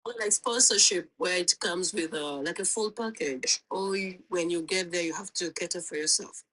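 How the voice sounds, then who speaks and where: A woman's voice: lowish voice, moderate pace, fairly bright tone, low-quality recording. A woman calling into a live stream, asking questions hesitantly with many fillers and apologies. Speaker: caller.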